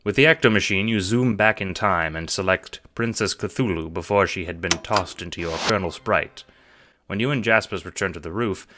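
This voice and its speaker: Low, bright-voiced male audiobook narrator. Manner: storytelling, expressive. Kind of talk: second-person audiobook narration.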